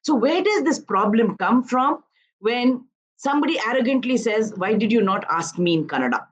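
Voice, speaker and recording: A woman in her fifties from southern India speaks as an activist guest on a news panel. Her voice sits a little high, and she argues in an earnest, measured way. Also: lively intonation, dull audio, slight background noise. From Karnataka, India. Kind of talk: live news panel interview.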